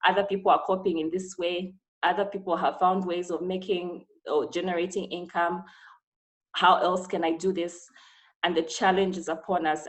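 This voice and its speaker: Woman presenter with a lowish voice, earnest and advising, on a video call. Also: poor audio. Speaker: webinar speaker.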